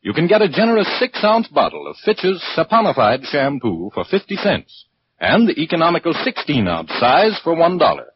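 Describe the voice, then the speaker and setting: A male American announcer reads a 1940s radio commercial in a fairly high, very animated voice. The sound is muffled, with faint hiss. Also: moderate pace.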